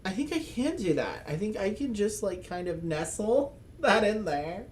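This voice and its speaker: A man's voice: high-pitched, sing-song, normal speed, a little hiss or hum. A man hosting a board game channel, thinking aloud through a solo game with chuckles and self-mocking asides. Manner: speaks as a loud, projecting voice. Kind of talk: casual solo playthrough commentary.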